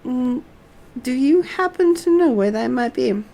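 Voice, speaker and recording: Woman playing earnest young male game scholar: formal, deliberate, slow, animated pitch, faint noise behind. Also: vocal fry, full character voice. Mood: earnest, pondering.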